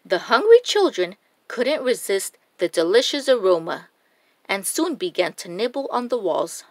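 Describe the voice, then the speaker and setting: A woman narrating a children's storybook in a deliberate, expressive reading style. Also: mid-range voice, no echo.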